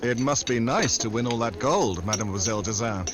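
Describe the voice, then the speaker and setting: An actor playing a suave, unflappable secret agent in a 1940s-style radio drama, with a smooth, wry, flirtatious manner. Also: male voice, medium pitch, brisk, noisy background, close to an everyday voice.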